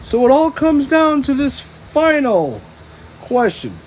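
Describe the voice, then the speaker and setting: A showy cartoon fish show host, put on by a man reading a storybook. He announces grandly in a raised voice, stretching his words slowly. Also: very high-pitched, sing-song, a little hiss or hum, pitched above the performer's own voice, full character voice. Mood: dramatic.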